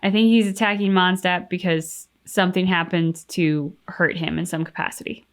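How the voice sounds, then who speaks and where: A woman's voice: on the low side, steady tone, moderate pace. A woman co-hosting a game reaction channel, talking casually and reflectively, with plenty of likes and you-knows as she thinks aloud. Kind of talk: casual discussion, giving opinions.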